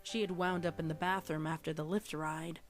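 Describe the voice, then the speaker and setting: A woman's voice: sits low, steady tone, normal speed, soft background music. A woman narrating a story in a scripted fan fiction reading.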